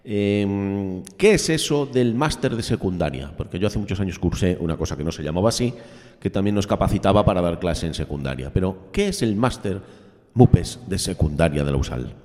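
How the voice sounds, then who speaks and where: A man's voice: deep voice, animated, a little fast, a little mellow. A middle-aged man with a Spanish accent from Spain hosting an informal radio interview in a genial, slightly ceremonious way. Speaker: host of a school radio programme. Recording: long hall-like reverb.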